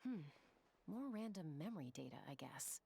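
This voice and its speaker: A woman playing a brisk, level-headed adult scout in a video game. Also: lowish voice, very animated, gravelly, mellow, a little hiss or hum. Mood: curious.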